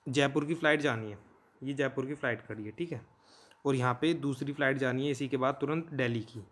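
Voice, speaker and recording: A man's voice: mid-range voice, fast, fairly bright tone. An Indian man teaching in an informal, conversational way, explaining step by step and often checking in with listeners. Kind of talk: informal explanatory lesson in Hindi mixed with English terms.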